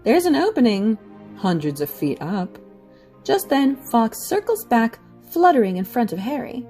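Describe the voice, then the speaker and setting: A woman's voice: on the high side, very animated, a little slow, dark tone, soft background music. A woman reading scripted story narration for an audio drama.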